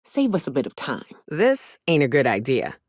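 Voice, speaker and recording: A woman's voice: extremely low pitch, wildly swooping pitch, moderate pace, a little mellow, no echo, clean studio sound, exaggerated voice. A woman playing a brash, sardonic gunslinger from a space-colony crew in a video game, with a wry, drawling, folksy, sweary delivery. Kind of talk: video game voice acting, short companion lines.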